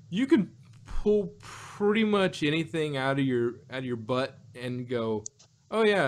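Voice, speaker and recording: A man co-hosting an audio drama review podcast, talking in a rambling way with many restarts and fillers. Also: medium pitch. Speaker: podcast co-host. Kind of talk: casual chat.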